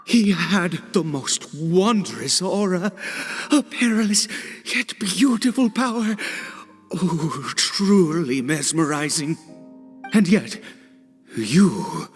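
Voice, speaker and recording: An eerily fervent fantasy cultist, slow and halting, full of wonder. His fairly high voice swings widely in pitch. It rings with long reverb. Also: very animated, slightly rough, full character voice. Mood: awed, reverent. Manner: halting, breathless wonder, trailing pauses. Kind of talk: video game cutscene acting. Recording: long hall reverb, music behind.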